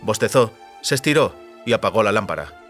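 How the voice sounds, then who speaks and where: A man's voice: fairly low pitch, lively intonation, brisk, fairly bright tone, soft background music. A man reading scripted literary narration as an audiobook narrator.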